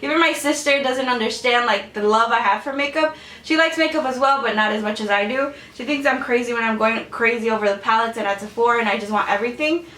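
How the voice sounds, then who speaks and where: A woman's voice: fairly high pitch, fast, sharp tone. A woman in her twenties chatting casually to camera in a beauty Q&A, rambling with lots of "like"s and little laughs. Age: 20s. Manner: chatty, rambling with many "like"s, giggly. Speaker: beauty vlogger. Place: bedroom filming space. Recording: roomy, from a distance.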